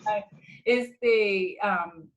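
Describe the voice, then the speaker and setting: A woman's voice: medium pitch, very slow, slight background noise, poor audio. A woman hosting a virtual church ladies' meeting, friendly and a little hesitant, slipping in English fillers. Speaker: host of women's meeting. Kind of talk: casual virtual interview. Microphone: video call.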